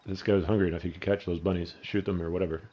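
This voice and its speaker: Canadian guy, middle-aged, low dark voice, rambling gameplay host who swears freely. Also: steady tone, very fast, dull audio. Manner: rambling and profane. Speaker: gaming video host. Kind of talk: casual gameplay commentary.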